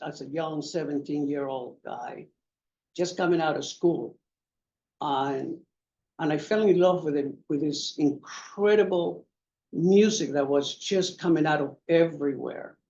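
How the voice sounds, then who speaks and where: A man in his seventies, a veteran DJ on a conference panel, remembering his early years in an earnest, halting way. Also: a little high, darkish tone, lo-fi sound, slight background noise. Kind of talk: reminiscing at a panel. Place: conference room.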